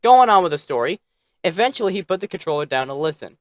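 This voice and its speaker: A man's voice: on the high side, very animated, quick pace, dry recording. A man telling a bedtime story as narrator, theatrical, with playfully snippy asides.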